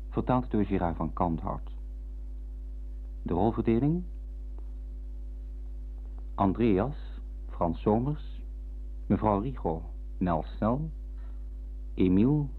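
A man's voice: on the low side, animated, takes it slowly, long pauses, slightly rough. A man announcing formal scripted closing credits as a radio announcer. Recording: muffled old radio broadcast.

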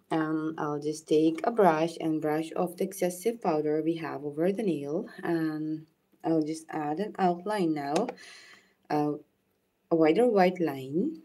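Give me a soft-spoken woman, native Urdu speaker, with a lowish voice, explaining nail art to her viewers. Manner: soft-spoken, unhurried, thanks viewers by name. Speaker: nail art tutorial host. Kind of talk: live nail art demonstration with chat shout-outs.

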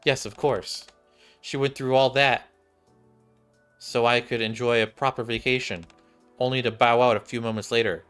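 A man's voice: mid-range voice, fairly even intonation, normal speed, pauses often, fairly bright tone, smooth. Young man reading game dialogue over music. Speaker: game streamer reading visual novel dialogue aloud. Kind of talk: read-aloud of game dialogue. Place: small indoor room.

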